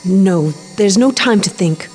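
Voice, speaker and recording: An actress playing a grave, composed and mysterious woman ally in a video game, speaking in a measured, formal way. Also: mid-range voice, animated, darkish tone. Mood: urgent. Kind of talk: video game cutscene acting.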